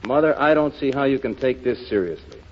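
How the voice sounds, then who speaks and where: An actor playing an earnest, hot-headed young American lawyer in a 1930s radio drama. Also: male voice, mid-range voice, lively intonation, fairly quick, close to an everyday voice. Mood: indignant. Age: young adult. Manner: impetuous, emphatic. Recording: muffled, noisy 1930s radio recording.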